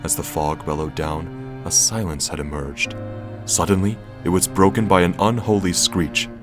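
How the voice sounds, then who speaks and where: An actor playing a droll, tongue-in-cheek storyteller, narrating a comic audio play in a dramatic style. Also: male voice, low-pitched, steady tone, normal speed, music in the background, lightly acted. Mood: grand, dramatic. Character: droll, mock-epic male storyteller.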